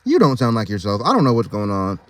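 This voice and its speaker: Man voicing a puzzled, probing female monkey, lively. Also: on the low side, fast. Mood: exasperated.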